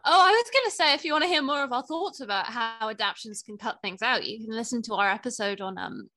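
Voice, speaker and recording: Bright, high-voiced young woman chatting. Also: expressive, fast. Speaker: podcast co-host. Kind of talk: casual book chat.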